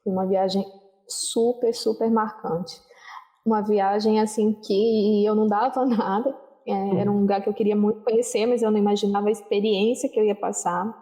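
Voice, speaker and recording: A young adult woman talks as an interview guest, her voice mellow and soft-edged. She rambles easily through her stories, dotting them with little tag questions. Her pitch sits in the ordinary middle range. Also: steady tone, moderate pace. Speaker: guest, social worker. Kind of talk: casual interview storytelling.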